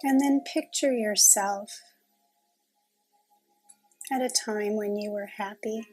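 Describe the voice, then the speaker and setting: A woman leading a guided meditation in a calm, gentle, unhurried manner with long pauses. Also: mid-range voice, faint music behind.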